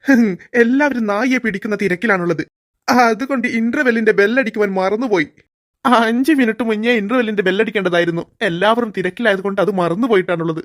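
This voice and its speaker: A woman's voice: mid-range voice, quick pace, dry recording, studio quality, lightly acted. A woman voicing a self-important male music teacher in a cartoon, muttering plans to himself. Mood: plotting.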